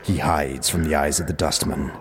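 A man playing a solemn, heavy-set dustman with a stony voice and formal, measured speech in a fantasy audio drama. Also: very deep voice, fairly even intonation, fairly bright tone. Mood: grave, solemn. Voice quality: gravelly, stony.

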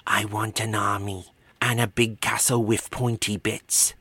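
A man's voice: on the low side, flat delivery, measured pace, pushed and bright, breathy edge, no room sound, full character voice. A man voicing a small, eager little girl in a storybook reading, simple and childlike. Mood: eager, greedy. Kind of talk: storybook read-aloud character voice.

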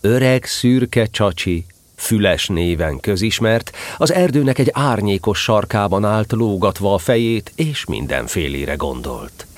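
A man narrates a children's tale as an expressive storyteller. His voice is on the low side and moves through a wide, animated melody as he reads the scripted prose. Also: no room sound.

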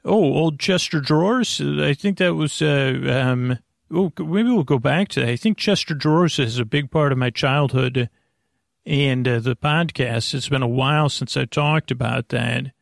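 A middle-aged man with a creaky voice hosting a bedtime podcast, meandering and halting through a self-deprecating, off-topic monologue. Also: mid-range voice, no echo, studio quality. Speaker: host of a bedtime sleep podcast.

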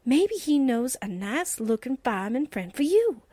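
Lady doing a flirty 40s radio sidekick gal, highish voice, slangy n playful, really dry sound. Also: very animated, moderate pace, mellow, dry recording. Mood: flirty, teasing. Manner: folksy, slangy, playful exclamations. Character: breezy, flirty, wisecracking 1940s newspaperwoman sidekick. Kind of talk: 1940s radio drama acting.

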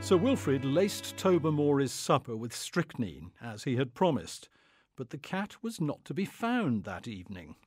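A man's voice: medium pitch, big pitch swings, moderate pace, a little mellow, slightly rough, faint music behind. A man narrating a scripted story for an audio play.

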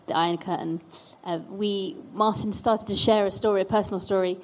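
A woman with a mid-pitched voice moderates a live panel. She hands over to guests in a hesitant way, restarting her sentences often. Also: steady tone, a little fast, rough recording.

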